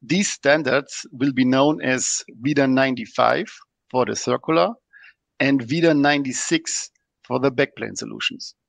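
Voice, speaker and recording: A man's voice: medium pitch, moderate pace, vocal fry, no room sound. A man, a podcast guest explaining products in a methodical, technical way. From Germany.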